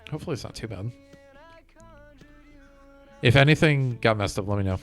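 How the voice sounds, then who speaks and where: A man's voice: mid-range voice, animated, normal speed. A man streaming a game, chatting casually and thinking aloud to his viewers. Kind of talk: casual chat while playing a game. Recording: music behind the voice.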